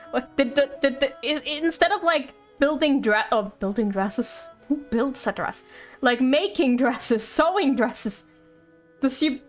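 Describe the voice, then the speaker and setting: A woman giving casual, rambling live commentary as she streams a video game, halting and playful, with faint music behind. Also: high voice.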